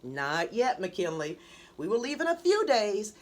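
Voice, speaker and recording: A woman voicing a patient, reassuring mother of young children in a storybook, speaking in a measured way. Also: medium pitch, sing-song, a little hiss or hum, pitched below the performer's own voice.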